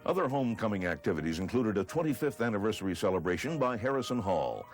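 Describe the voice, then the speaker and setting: Low-voiced American male newsreel narrator, lively inflection. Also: quick pace. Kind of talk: scripted narration. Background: music bed.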